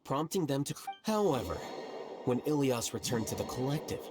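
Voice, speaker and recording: An actor playing a blunt, unflappable young scholar in a video game, speaking in a measured, matter-of-fact way. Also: male voice, mid-range voice, very animated, lightly acted. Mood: cool, analytical. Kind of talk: dramatic video game dialogue. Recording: loud music behind the voice.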